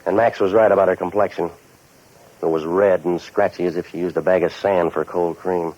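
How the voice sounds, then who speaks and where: A very low-pitched hard-boiled private investigator from 1940s radio noir. He is cynical and wisecracking, with a deadpan, sardonic delivery. The old broadcast recording is dull and muffled. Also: brisk. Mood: wry, world-weary narration. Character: wisecracking, cynical tough-guy hired investigator and narrator.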